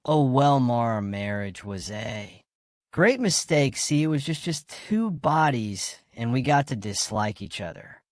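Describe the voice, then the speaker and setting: Actor playing a halting, bitter widower, dry sound. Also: male voice, medium pitch, lively intonation, dry recording, close to an everyday voice. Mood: reflective, regretful. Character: guarded, bitter widower confessing a failed marriage. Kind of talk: audio drama dialogue.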